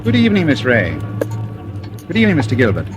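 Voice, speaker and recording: An actor in a radio thriller playing a suave, cunning gentleman, coolly menacing, with measured, formal, ironically polite speech. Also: on the high side, wildly swooping pitch, over loud music. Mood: mock politeness. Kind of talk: 1940s radio thriller acting. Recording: noisy old radio drama recording.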